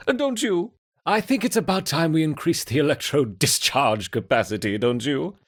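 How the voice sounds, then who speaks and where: A mad scientist for a video game, gleefully cruel and theatrical. His voice is rough and husky, swooping enormously from low murmurs to high shrieks. He draws words out slowly with mock sweetness, as if coddling his test subjects. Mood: sly, hurried scheming. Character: unhinged male scientist, gleefully cruel, running insect experiments. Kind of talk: scripted video game voice acting.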